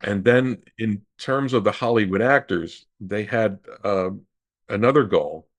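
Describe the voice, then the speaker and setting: Deep-voiced older man, halting delivery. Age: 60s. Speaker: radio historian guest. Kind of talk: interview answers, recollection and explanation.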